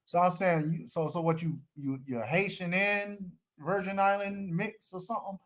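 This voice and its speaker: Teasing slangy host, fairly high voice. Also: male voice, steady tone, a bit bright. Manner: teasing, slangy, quick to call people out. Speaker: live-stream host. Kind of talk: casual live-stream chat.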